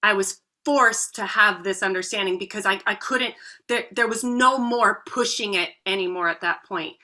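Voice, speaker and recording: A woman's voice: medium pitch, moderate pace, pushed and bright. A woman in her thirties, a voice coach, telling her own story as a guest in an earnest, heartfelt way. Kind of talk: podcast interview.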